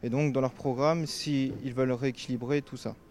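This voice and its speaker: A man's voice: medium pitch, steady tone, a little fast, a little mellow. A man, a member of the public, asking candidates a recorded question. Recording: clear ambient background noise.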